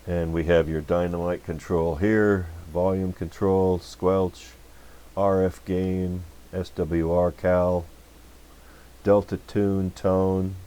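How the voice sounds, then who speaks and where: A man with a deep voice and a dark, relaxed tone hosts a casual workbench demonstration. He talks informally and folksily, rambling along as he explains things. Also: slow, clear, resonant tone. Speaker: host of a radio repair channel.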